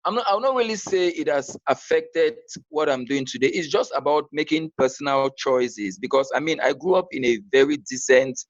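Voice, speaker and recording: A man's voice: on the high side, normal speed, few pauses, no room sound, muffled recording. A man with a Cameroonian accent, a podcast guest telling his personal story conversationally.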